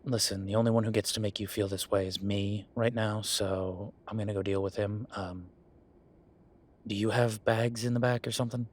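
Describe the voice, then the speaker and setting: A man playing a gruff, sarcastic merman who runs a tattoo shop, speaking in a halting, conversational audio roleplay style. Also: fairly low pitch, fairly even intonation, close to an everyday voice. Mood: concerned, gruff. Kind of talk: naturalistic one-sided audio roleplay.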